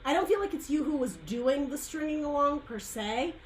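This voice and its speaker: Tarot reader, woman, higher voice, dry worn throat, loose rambling delivery. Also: expressive, moderate pace, slight background noise, rough recording. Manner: rambling, searching for words, frequent "like" and "um". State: dry, losing her voice. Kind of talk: casual tarot reading monologue.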